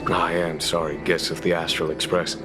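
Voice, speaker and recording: An actor voicing a genial, shrewd general of knights in a video game, courteous and unhurried. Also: male voice, deep voice, natural voice. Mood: courteous, diplomatic. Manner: smooth diplomatic courtesy, relaxed authority. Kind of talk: scripted video game dialogue. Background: background music.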